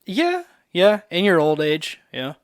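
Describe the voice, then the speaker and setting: A man's voice: high-pitched, huge pitch swings, takes it slowly, no echo, studio quality. A man in a casual podcast chat, bantering and swearing freely. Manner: bantering, profane. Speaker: podcast co-host.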